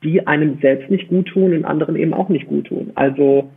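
A man's voice: fairly high pitch, brisk, gravelly, husky. A man speaking as a podcast guest, explaining his views emphatically and articulately, with rhetorical questions. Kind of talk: conversational interview, explaining opinions. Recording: muffled phone-like band-limited line.

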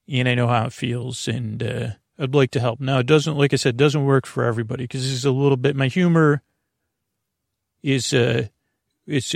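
A man hosting a sleep podcast in a creaky, gravelly voice, recorded close and dry. He meanders and corrects himself in a soft, reassuring way. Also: medium pitch, no room sound. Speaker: podcast host. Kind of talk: rambling sleep podcast intro.

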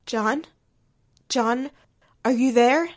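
A woman's voice: fairly high pitch, drawn-out words. A woman playing an earnest, hopeful, devoted character in a 1940s-style radio drama. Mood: anxious.

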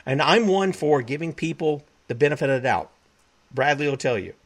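A man's voice: a little high, animated, a little fast. Preachy man. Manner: emphatic, repeats himself, quotes scripture. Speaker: talk show host. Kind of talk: preachy broadcast monologue.

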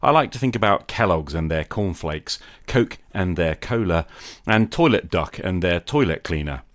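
A man hosting a podcast, giving a reflective monologue in measured, emphatic phrases with deliberate pauses. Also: low voice, animated, dry recording, clean studio sound. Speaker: podcast host.

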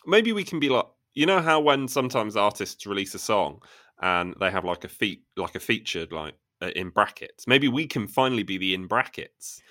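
A man's voice: on the low side, sing-song, normal speed, pushed and bright, no room sound, studio quality. A man co-hosting a podcast, chatting in a self-mocking way with many restarts. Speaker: podcast co-host. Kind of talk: casual podcast chat.

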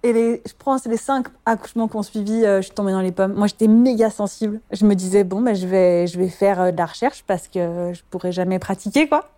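Soft-toned midwife, hesitant. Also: female voice, medium pitch, brisk, hardly any pauses. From France. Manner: hesitant, many fillers, laughs easily. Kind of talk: personal interview testimony.

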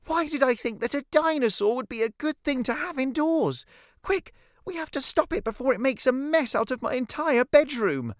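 An excitable, determined little girl from a children's story, acted out by an adult narrator. The voice sits high and swings about in a lively way, with a dry, close sound. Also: normal speed, no echo. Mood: alarmed.

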